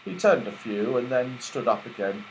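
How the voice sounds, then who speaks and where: A man narrating a children's story aloud in an expressive manner. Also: mid-range voice, normal speed, a little hiss or hum, low-quality recording. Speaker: audiobook reader.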